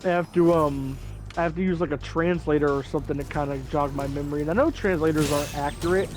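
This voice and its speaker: A man's voice: fairly high pitch, fairly even intonation, fast. A young man hosting a gaming video, rambling in casual commentary full of "like" fillers. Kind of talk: casual let's-play commentary. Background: faint game music.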